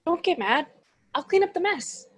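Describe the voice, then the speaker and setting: A woman's voice: very high voice, animated, normal speed. A woman playing a childlike, naive and eager demon in a comedy table read, with an earnest, innocent manner. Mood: anxious, apologetic. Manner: innocent, earnest, sweetly matter-of-fact.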